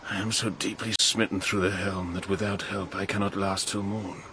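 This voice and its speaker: A man's voice: low voice, little pitch movement, moderate pace, bright, forceful tone, slight background noise. An actor playing a dying, grief-stricken noble king in a solemn, stately verse radio drama. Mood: weary, grieving.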